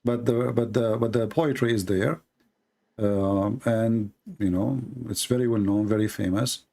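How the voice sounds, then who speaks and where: A gravelly man hosts a call-in show. He rambles on, filling the gaps with lots of uh and um. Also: lowish voice, measured pace, warm tone. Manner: rambling, with many fillers and restarts. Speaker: host of a call-in debate show. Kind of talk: casual call-in talk.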